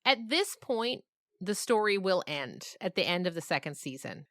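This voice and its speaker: A woman's voice: lowish voice, big pitch swings, normal speed, fairly bright tone, no room sound, clean studio sound. A woman speaking as an interview guest, a storyteller and podcast creator talking reflectively and expressively in conversation.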